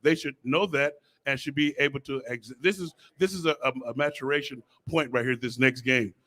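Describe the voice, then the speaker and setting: A man's voice: medium pitch, a little fast, pushed and bright. A man on a sports talk panel chatting casually about football, making his points insistently.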